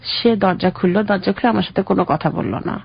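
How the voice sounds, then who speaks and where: A middle-aged woman with a Bangladeshi accent and a husky, creaky voice, telling her personal story as an interview guest. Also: medium pitch, fairly quick, dull audio.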